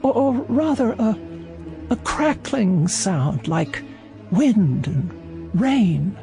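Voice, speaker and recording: Fussy old lady in a radio play, slow n hesitant, music underneath. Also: medium pitch, sing-song, vocal fry, full character voice. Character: frail, lonely, fretful elderly woman living alone. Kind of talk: radio drama acting.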